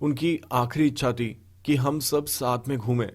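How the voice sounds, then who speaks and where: A man voicing a hurt, pleading adult son in an animated story, speaking with earnest reproach. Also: mid-range voice, unhurried, lightly acted. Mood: subdued. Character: hurt, pleading adult son confronting his drinking father. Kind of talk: animated story dialogue acting. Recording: background music under the voice.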